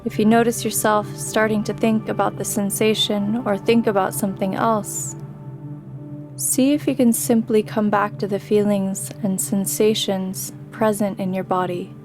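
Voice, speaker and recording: A woman guiding a sleep meditation in a gentle, soothing way, with long pauses between her phrases. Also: medium pitch, little pitch movement, over music. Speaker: meditation guide. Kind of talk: guided sleep meditation, scripted narration.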